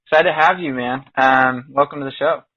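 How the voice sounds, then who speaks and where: A man's voice: mid-range voice, a little fast. A man hosting a podcast, enthusiastic and upbeat as he introduces and questions his guest. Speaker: podcast host. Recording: dull lo-fi sound.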